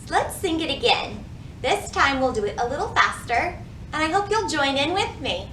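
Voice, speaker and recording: A woman hosting a children's storytime, reading and teaching in an animated way with careful enunciation for young listeners. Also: high-pitched, moderate pace. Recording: roomy echo, faint background noise.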